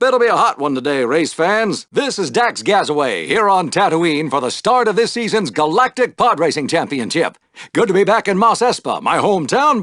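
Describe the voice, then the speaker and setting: An actor playing an upbeat, showy sci-fi podrace announcer in a video game, delivering wisecracking play-by-play commentary. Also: male voice, high-pitched, fairly bright tone, no room sound, studio quality. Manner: showy broadcaster patter with wisecracks. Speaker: sports race announcer.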